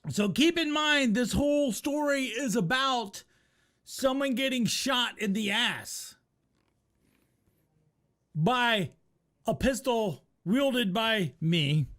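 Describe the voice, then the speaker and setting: High-pitched man telling a casual story. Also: expressive, takes it slowly, pauses often, fairly bright tone. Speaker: storyteller and host reacting to a video.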